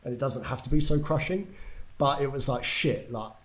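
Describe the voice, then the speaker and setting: A young man from England tells his story as a podcast guest, candid and loose. He swears often and fills his sentences with "like" and "um", at a middle pitch. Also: moderate pace, fairly bright tone. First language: English. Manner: candid, swears freely, lots of fillers. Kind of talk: casual storytelling.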